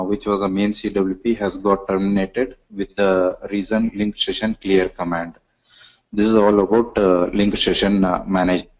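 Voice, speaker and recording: A man giving a halting, step-by-step software demonstration as a product demonstrator in a webinar. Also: on the low side. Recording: dull lo-fi webinar audio.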